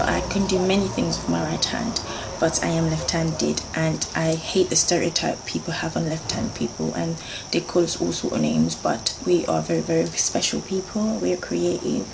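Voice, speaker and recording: A woman, talking in a low, gravelly voice. She chats earnestly to her viewers and fills her sentences little fillers. Clear background noise a hum of insects runs under the recording. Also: normal speed. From Jamaica. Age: 30s. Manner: chatty and earnest, with frequent fillers. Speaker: beauty vlogger. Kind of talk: casual talk to camera, going through a list.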